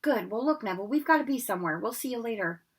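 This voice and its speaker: A woman voicing a young schoolboy hero who speaks urgently and in a hushed way. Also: fairly high pitch, expressive, fast, husky, pitched above the performer's own voice.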